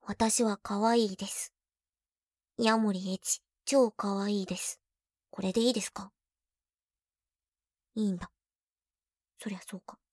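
A young woman playing a teasing, shy girlfriend who is junior to the listener, in an ASMR roleplay, talking playfully and dropping into soft whispers. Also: medium pitch, fairly even intonation, normal speed, long pauses, slightly rough, no echo, clean studio sound.

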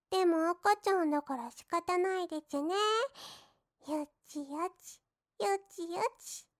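High, slow baby-talk maid, mocking her master, played by a woman. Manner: sing-song baby talk with lisped endings. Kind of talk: roleplay audio drama.

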